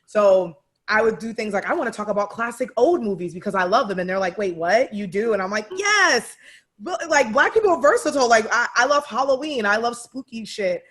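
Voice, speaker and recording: A woman co-hosting a casual panel chat, speaking in an emphatic, colloquial, animated way. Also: fairly high pitch, brisk. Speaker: talk show co-host.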